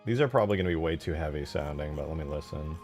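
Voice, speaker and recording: A man's voice: a bass voice, animated, racing, over loud music. A man chatting casually as a streamer at work, thinking aloud in informal, slangy fragments with casual swearing. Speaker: game modder streaming their work. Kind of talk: casual livestream chat while working.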